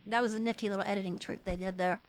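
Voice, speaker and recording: A woman's voice: mid-range voice, fairly even intonation, very fast, a little hiss or hum. A woman hosting a livestream, giving casual comedic commentary in a mocking, joking way. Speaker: livestream host reacting to old films.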